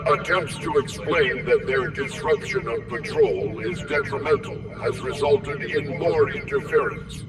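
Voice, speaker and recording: A man's voice: on the high side, unhurried, long pauses, heavy background noise, lightly acted. A man playing a dutiful, literal-minded robot guardian in a video game, formal, clipped and emotionless. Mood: flat, reporting. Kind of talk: video game machine character acting. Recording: distant sound under loud music.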